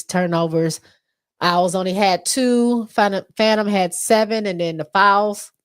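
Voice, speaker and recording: A woman's voice: lowish voice, smooth. A woman with an American accent, stuffy from allergies, casually recapping basketball stats with lots of ums. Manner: reads stats with many fillers. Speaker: podcast co-host.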